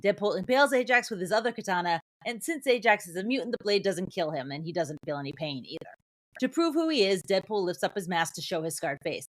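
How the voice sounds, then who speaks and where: A woman's voice: medium pitch, lively intonation, fast talker, no room sound. A woman co-hosting a podcast, chatting casually and recapping films. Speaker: podcast co-host. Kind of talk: casual film review chat with plot recap.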